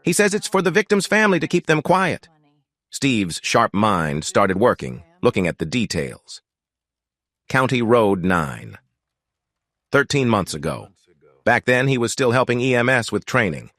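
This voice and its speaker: A man's voice: on the low side, a bit bright, dry recording. A man reading a scripted story as its narrator.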